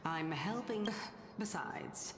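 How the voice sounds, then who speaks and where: A woman's voice: low voice, lively intonation, slow, heavy background noise, full character voice. An actress playing an enigmatic, self-assured woman of mystical power in a video game, coy and amused. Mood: coolly assured. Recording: echoing game reverb, music behind.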